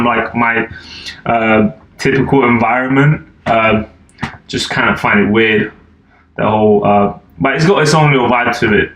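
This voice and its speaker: A young man in his twenties, originally from Albania, talks in a loose, meandering way with plenty of "like" and "uh". His voice sits at an ordinary middle pitch. The room around him rings noticeably, with a faint hiss underneath. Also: fairly bright tone. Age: 20s. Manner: laid-back, rambling, lots of fillers and "like". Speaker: podcast guest. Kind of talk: casual chat. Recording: echoey room, faint noise.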